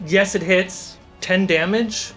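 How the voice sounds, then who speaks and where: A man's voice: high voice, fairly even intonation, slow pace, a bit bright. A man running a tabletop fantasy game as game master, narrating scenes and calling out results. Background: clear cinematic music.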